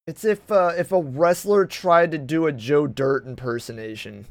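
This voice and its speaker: Brief: male podcast host, loose and profane, slightly high voice, dry close sound. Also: normal speed, dry recording. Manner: rambling, profane, stumbles and restarts often. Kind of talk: casual banter.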